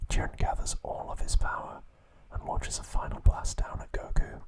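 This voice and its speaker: A man narrating ASMR in a hushed, deliberate whisper, breaking his sentences into short phrases. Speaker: ASMR host.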